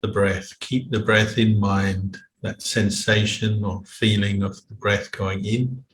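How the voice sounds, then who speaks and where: A man with a fairly low, flat-pitched voice leads a guided meditation. He speaks in a measured way with long gaps between phrases. The sound is echoey, as in a room, with faint noise behind him. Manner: measured, unhurried, with long pauses. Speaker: meditation teacher, Buddhist monk. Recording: roomy echo, faint background noise.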